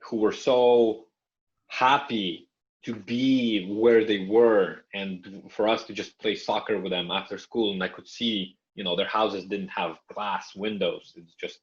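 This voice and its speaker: A man's voice: lowish voice, fairly even intonation, measured pace. A young man whose first language is Russian, who grew up in Canada, talking as a podcast guest in a thoughtful way, trailing off and starting over. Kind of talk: conversational interview. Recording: muffled lo-fi audio.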